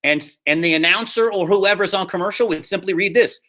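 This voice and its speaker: A man with a fairly high, very bright voice talks like a drama teacher walking students through an assignment. He is upbeat and informal, explains things step by step, and often checks in with the class. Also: brisk. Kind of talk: casual instructional talk to students in an online class.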